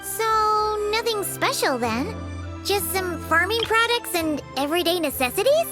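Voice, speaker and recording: A woman voicing a small, excitable, childlike female fairy companion with a squeaky voice in an anime video game. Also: a little slow, music in the background. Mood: curious, unsure. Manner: refers to herself in third person, exclamatory.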